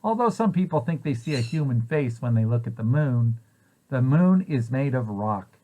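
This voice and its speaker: Man reading aloud, very animated pitch. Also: normal speed, poor audio. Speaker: preschool site director reading aloud. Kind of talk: children's read-aloud of a picture book.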